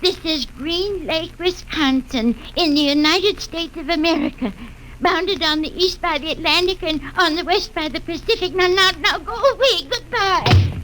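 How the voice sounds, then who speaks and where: An actress playing a prim, scolding small-town housewife in a 1950s radio drama. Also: female voice, very high-pitched, normal speed, pushed and bright, rough recording. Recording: old radio broadcast with faint hiss.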